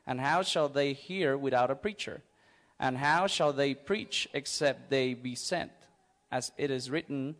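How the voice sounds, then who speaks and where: A middle-aged man speaks English with a Mexican accent at a medium pitch. He is a missionary guest answering questions, plain-spoken and a little halting, often repeating words as he explains. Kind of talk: informal interview before a church audience.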